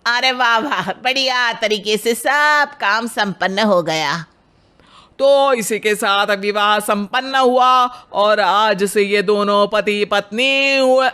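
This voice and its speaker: A cheerful wedding priest, voiced by a woman, ceremonious in a moral tale. The delivery is slow and bright, with a raised pitch. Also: clear, resonant tone. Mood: pleased. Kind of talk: dramatized Hindi moral story.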